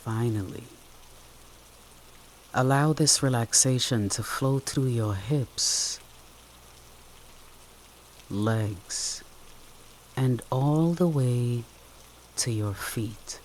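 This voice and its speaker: A meditation guide speaking gently and soothingly in short phrases with long pauses, leading a guided relaxation. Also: mid-range voice, a little hiss or hum. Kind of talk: guided meditation, soft instructional narration. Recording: steady rain sound behind the voice. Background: rain.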